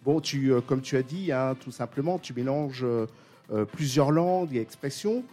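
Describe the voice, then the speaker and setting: A man's voice: medium pitch, normal speed, warm tone, faint music behind. A man hosting an interview, reading his introduction and then asking questions with fillers. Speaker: host.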